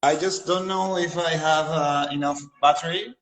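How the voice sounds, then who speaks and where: A man joining a live chat as a guest, talking haltingly with frequent fillers. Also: on the high side, fairly even intonation, a bit bright. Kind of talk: casual chat. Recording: echoey, distant, faint noise.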